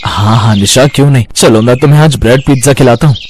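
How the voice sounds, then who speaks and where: A man acts out a poor street seller in a storybook tale. He is a caring, hopeful father with a mid-pitched male voice. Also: quick pace, darkish tone, slight background noise, lightly acted.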